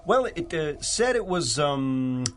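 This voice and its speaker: A man's voice: fairly high pitch, very animated, slow. An actor playing a dim, servile gangster henchman in a 1930s-style radio serial, slangy and obsequious. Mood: nervous pleading. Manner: stammering, obsequious, streetwise slangy grammar.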